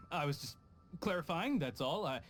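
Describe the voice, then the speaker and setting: Casting: earnest flustered game retainer, higher male voice, animated. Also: unhurried, faint music behind. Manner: earnest, stammering, deferential. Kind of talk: video game voice acting.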